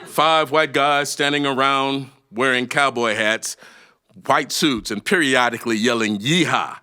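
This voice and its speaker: A man telling a story as a performer, in a folksy way with dramatic pauses and conversational asides, on the history of music. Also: mid-range voice, pushed and bright.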